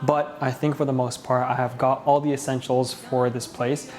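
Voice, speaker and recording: A man's voice: mid-range voice, level tone, moderate pace, a little mellow. A man with a mild head cold talking casually as the host of a home tour vlog, indoors in an empty living room.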